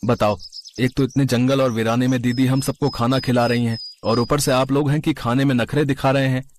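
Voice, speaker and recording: A man voicing a poor but shrewd carpenter father, coaxing and ingratiating, in an animated moral story. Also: medium pitch, fairly quick, slight background noise, close to an everyday voice. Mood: placating.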